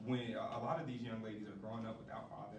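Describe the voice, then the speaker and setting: A man's voice: fairly low pitch, little pitch movement, very fast, recorded from a distance. Male interviewee, earnest preacher-like delivery, recorded off-mic in a roomy, noisy space. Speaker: production manager and acting coach for a stage play. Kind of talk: interview talk with preaching, scripture quoting. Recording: roomy echo, off-mic, clear background noise.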